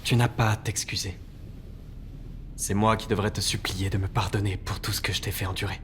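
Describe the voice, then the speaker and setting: A man voicing a gentle, solemn, formal-spoken man in a French game dub. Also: fairly low pitch, level tone, a little fast, slight background noise, lightly acted. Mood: tender, solemn.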